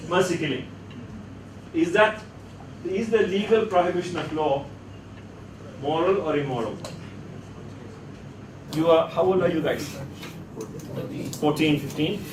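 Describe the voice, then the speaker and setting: Male lecturer, slightly high-pitched, emphatic and questioning, heard from across an echoey classroom. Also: animated, unhurried, pauses often. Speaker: teacher leading a workshop class. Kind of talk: classroom teaching with rhetorical questions. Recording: echoey, noisy room, distant mic.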